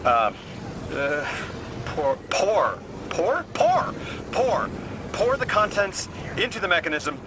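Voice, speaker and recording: A male actor playing an earnest, bookish amnesiac translator in a video game, halting and apologetic. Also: high-pitched, very animated, noisy background, heavily acted. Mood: flustered, urgent. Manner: halting, apologetic, repeats words when flustered. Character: earnest, flustered, bookish amnesiac man from Earth acting as translator. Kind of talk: naturalistic video game voice acting. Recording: music behind the voice.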